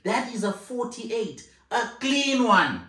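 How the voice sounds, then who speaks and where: Man, 30s, slow and insistent, voice rising and falling a lot, echoey living room, camera mic. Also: very high-pitched, big pitch swings, bright, forceful tone. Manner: insistent, repeats himself, laughs a lot. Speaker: co-host of a couple's channel. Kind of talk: casual argument on a couch. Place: living room, sitting on a sofa. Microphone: far camera.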